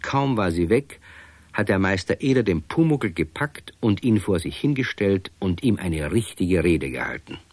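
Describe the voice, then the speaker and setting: A man narrating a children's radio play in a calm, wry storytelling manner. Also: low voice, normal speed, dry recording.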